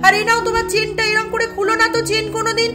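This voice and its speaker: Woman acting a feisty, very high-pitched scolding aunt. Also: little pitch movement, very fast, music in the background. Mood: proud, brisk. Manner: indignant, emphatic. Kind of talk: doll-play storytelling.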